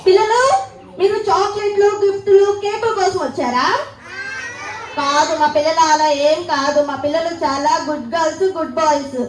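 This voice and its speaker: A woman's voice: very high-pitched, normal speed. A woman playfully hosting a children's puppet show, coaxing and repeating questions to her young audience. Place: live children's event in a hall. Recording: echoey hall with crowd and faint noise.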